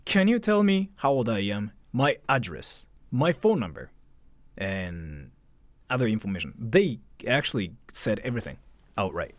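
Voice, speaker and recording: A man chats to his livestream in a casual storytelling style. His pitch swings widely as he replays conversations, peppered with swearing and repeated phrases. The sound is dry, with very little room in it. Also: moderate pace, no room sound. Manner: animated, swearing, acts out both sides of conversations.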